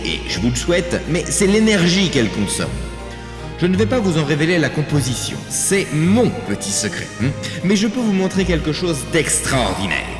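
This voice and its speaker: A grandiose city president played by a man speaks in a high, swooping voice that rings through a big hall. He delivers pompous, drawn-out oratory with showman pauses, as in an audio drama, over loud music. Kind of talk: theatrical public speech in an audio drama. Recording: echoing hall reverb under loud music.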